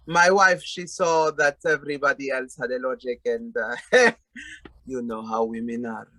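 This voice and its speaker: Flustered, hesitant father played by a man, bright and swooping. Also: mid-range voice, expressive, measured pace. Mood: sheepish, hesitant. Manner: hesitant, stammering, apologetic. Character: meek, flustered immigrant father shopping with his brat. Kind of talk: radio play acting.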